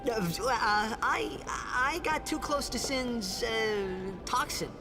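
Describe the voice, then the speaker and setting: Lively, naive young hero over music. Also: male voice, extremely high pitch, takes it slowly, heavily acted. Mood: flustered. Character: naive, earnest, cocky young man, displaced from his own world. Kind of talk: video game voice acting with reflective narration. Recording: loud game music behind.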